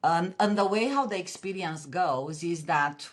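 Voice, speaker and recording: A middle-aged woman with a Romanian accent, talking as a podcast guest in a somewhat low voice. She speaks with earnest passion, often circling back and restarting her phrases mid-thought. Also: a little slow. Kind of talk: interview conversation.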